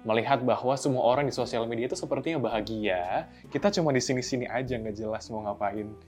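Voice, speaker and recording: A man's voice: medium pitch, fast, a little mellow, faint music behind. A man hosting a casual explainer, talking loosely and putting on short quoted asides. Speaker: host. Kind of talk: explainer narration.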